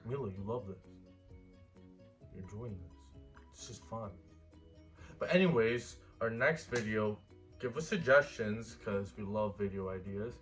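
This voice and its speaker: A man's voice: lowish voice, a little slow, long pauses, fairly bright tone, soft background music. A man in his early twenties chatting casually in a couple Q&A vlog, easygoing and rambling with fillers. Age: 20s. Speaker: vlogger.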